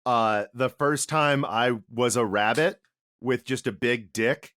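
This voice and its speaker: A man's voice: mid-range voice, slow, fairly bright tone, no room sound. A man in casual podcast chat, telling a joking story in a playful way. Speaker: podcast cast member.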